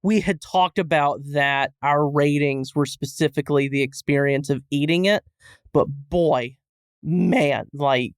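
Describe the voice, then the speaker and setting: A man on a review podcast, chatting casually and joking in a halting, rambling way. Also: on the high side, clean tone, no echo, clean studio sound. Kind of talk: casual comedic chat.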